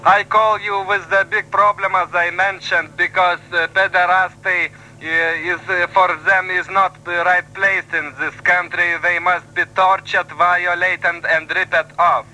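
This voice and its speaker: A man with a Lithuanian accent voicing an overwrought caller on a comedy radio show, halting with fillers. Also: high voice, flat delivery, bright, forceful tone, exaggerated voice, poor audio. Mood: mock-earnest. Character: self-loathing male radio caller, overwrought.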